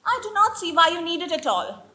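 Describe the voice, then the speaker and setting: A woman's voice: very high voice, big pitch swings, a little fast, bright, forceful tone, full character voice. A woman playing a strict, prim Victorian governess in a stage comedy, pompous and given to moralizing. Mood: disapproving, moralizing. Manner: stern, pompous, declamatory. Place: live stage play.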